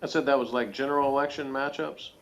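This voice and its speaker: A man's voice: medium pitch, fairly even intonation, quick pace. Joking man in casual commentary. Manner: slangy, joking, teasing. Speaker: co-host of a review show. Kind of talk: casual chat, TV episode commentary.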